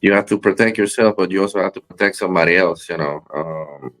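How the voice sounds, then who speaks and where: A man from Rico, a guest wrestler chatting in an interview, halting with many fillers and restarts. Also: deep voice, fairly bright tone, soft background music. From Puerto Rico. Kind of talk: casual interview chat.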